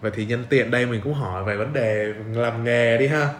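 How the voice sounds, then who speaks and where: A man's voice: mid-range voice, a little fast. Young guy w southern viet accent hosting a chatty podcast, roomy echo and some noise. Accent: southern Vietnamese. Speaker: podcast host. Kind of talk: casual interview chat. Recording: roomy echo, noticeable background hiss.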